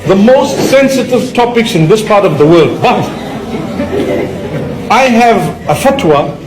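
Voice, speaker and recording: A man addressing a group, making teasing, declarative pronouncements. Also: sits high. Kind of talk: playful lecture to a crowd. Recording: echoey hall with crowd.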